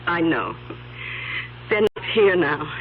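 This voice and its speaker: Fretful old aunt on tinny radio. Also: female voice, fairly low pitch, big pitch swings, slight background noise, heavily acted. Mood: worried, confused. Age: older. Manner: fretful, halting with repeated words. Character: anxious, indignant, confused old rich aunt. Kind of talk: old-time radio drama acting. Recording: tinny narrowband old radio broadcast, music behind.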